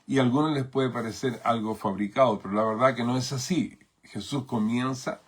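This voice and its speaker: A man's voice: medium pitch, a little fast, slightly rough. A man from Chile with a Chilean Spanish accent, preaching a sermon as pastor in a thoughtful way, with many fillers and tag questions.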